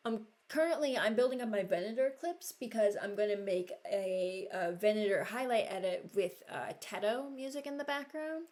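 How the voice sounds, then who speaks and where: A woman's voice: medium pitch, moderate pace, hardly any pauses, a little mellow. A woman in her early twenties giving casual, unscripted commentary as a gaming video creator, rambling and thinking aloud.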